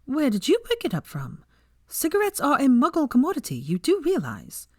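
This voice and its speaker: A woman voicing a sharp, easily exasperated young woman with an emphatic, scolding way of questioning, in an audiobook-style story reading. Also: high-pitched, wildly swooping pitch, normal speed, dry recording, studio quality, pitched above the performer's own voice. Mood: incredulous, teasing.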